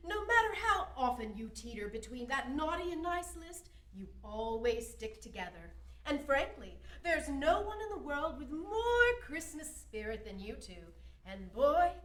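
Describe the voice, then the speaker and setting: Actress as theatrical older Santa's wife, motherly, high-pitched, radio play, distant roomy recording. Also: very animated. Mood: fond, earnest. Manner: storytelling, measured, fond of children. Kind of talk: amateur radio drama acting. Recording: roomy echo, off mic.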